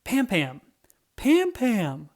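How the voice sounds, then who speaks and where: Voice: mid-range voice, huge pitch swings, very slow, voice pushed up, heavily acted. A narrator as an eager, earnest storybook weasel detective. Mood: excited.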